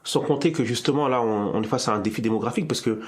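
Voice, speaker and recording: A man with a mid-range voice hosts a relaxed discussion. He thinks aloud, restarting phrases and repeating words as he builds his point. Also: mid-range voice, fast. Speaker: podcast host. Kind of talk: casual discussion.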